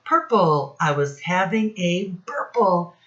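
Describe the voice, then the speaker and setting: A woman teacher speaking to young children in a singsong instructional style, with simple phrases and deliberate repetition. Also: on the low side, sing-song, roomy sound. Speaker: children's teacher and read-aloud host. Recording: dull, lo-fi sound.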